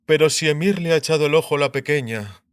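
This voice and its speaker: A man's voice: on the high side, no room sound, clean studio sound, close to an everyday voice. A man voicing a shrewd, grumbling king and sardonic husband in an audiobook, musing aloud. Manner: musing aloud, muttering, drawn-out syllables. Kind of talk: dramatised audiobook character acting.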